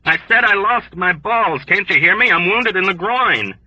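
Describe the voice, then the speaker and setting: Man doing a panicked wounded airman, high strained screams, pleading, husky edge, storybook reading. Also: expressive, normal speed, bright, forceful tone, no room sound, muffled recording, exaggerated voice. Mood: desperate. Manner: screaming, desperate, near weeping.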